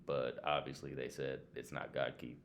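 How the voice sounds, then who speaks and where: A man's voice: very deep voice, level tone, normal speed, gravelly. A man co-hosting a podcast in casual chat, blunt and slangy with plenty of swearing. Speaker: podcast co-host.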